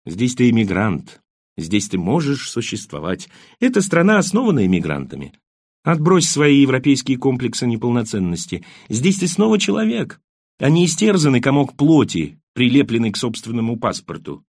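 A man's voice: mid-range voice, wildly swooping pitch, moderate pace, a little mellow, no room sound, clean studio sound. A man voicing a worldly, wry émigré who hands out talkative advice in an emphatic, rhetorical way, in an audiobook.

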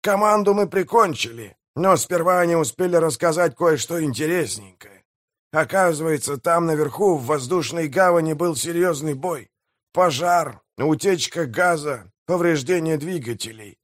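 A man's voice: on the high side, lively intonation, moderate pace, no room sound, clean studio sound, pitched above the performer's own voice, close to an everyday voice. A man voicing a boastful, greedy pirate mayor in an audiobook, blustering and commanding in a theatrical way. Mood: gleeful, scheming.

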